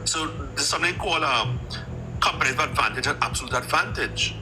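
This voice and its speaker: A man's voice: on the high side, fairly quick, music in the background, poor audio. A man who calls in to a live chat and explains trade step by step, with many restarts. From Trinidad. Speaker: caller joining the live chat.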